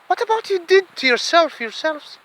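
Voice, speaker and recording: A man's voice: very high voice, very animated, normal speed, fairly bright tone, rough recording. A man with an Arabic accent giving a heated solo monologue, repeating himself and mixing in Arabic phrases. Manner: heated, repeats words, switches between English and Arabic.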